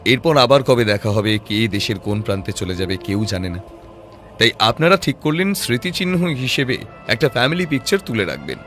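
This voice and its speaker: A man acting as a sharp-witted private detective with a mid-range voice, measured and composed. He lays out his reasoning patiently and probes with rhetorical questions, in an audio drama with music underneath. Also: mid-range voice, big pitch swings. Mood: calm, explaining. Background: background music.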